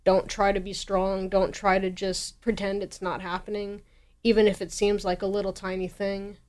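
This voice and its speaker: A woman's voice: medium pitch, level tone, normal speed, a little mellow. Earnest woman explaining a cause. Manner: earnest, emphatic. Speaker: interview guest, anti-bullying campaigner. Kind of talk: interview answers, explanatory.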